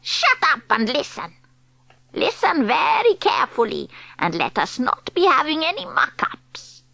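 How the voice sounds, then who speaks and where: An imperious witch queen for an audiobook, high-voiced and wildly swooping. Her put-on foreign accent mangles English as she commands theatrically and stretches her words slowly, full of scorn for children. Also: huge pitch swings, creaky, bright, forceful tone, no room sound, exaggerated voice. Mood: bossy, commanding. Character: Grand High Witch, imperious female villain loathing children. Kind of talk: audiobook character performance.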